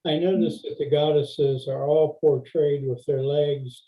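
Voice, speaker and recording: A man's voice: fairly high pitch, steady tone, unhurried, phone-quality sound. A man in the audience asking questions hesitantly, with many fillers, on a video call.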